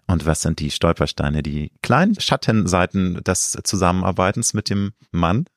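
A man's voice: sits low, expressive, moderate pace, no room sound, studio quality. A middle-aged man hosting a casual interview, often chiming in to agree. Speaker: podcast host, interviewer.

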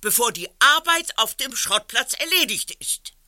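A stern middle-aged aunt in an audio drama. Her high, sharp voice swoops as she bosses and scolds, recorded dry. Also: very animated, moderate pace, dry recording, exaggerated voice. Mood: scolding. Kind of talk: German audio drama acting.

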